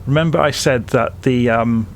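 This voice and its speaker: A man's voice: mid-range voice, moderate pace, slight background noise. A man presenting a casual flight simulation tutorial, explaining things informally, step by step.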